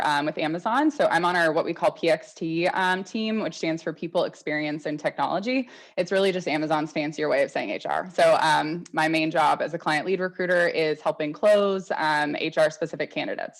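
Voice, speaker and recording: A woman recruiter moderating a webinar panel on a video call, upbeat and self-deprecating. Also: low voice.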